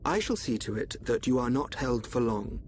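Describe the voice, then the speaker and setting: A man playing a calm, enigmatic royal seer in a video game, formal and assured. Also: medium pitch, normal speed, a little hiss or hum, natural voice. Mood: composed, reassuring. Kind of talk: dramatic video game voice acting.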